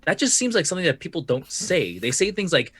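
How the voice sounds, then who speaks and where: A man's voice: fairly high pitch, sing-song, quick pace, fairly bright tone, husky, dry recording. A man co-hosting a podcast, making emphatic critical points, sometimes cursing for emphasis. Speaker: podcast co-host. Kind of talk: casual panel discussion.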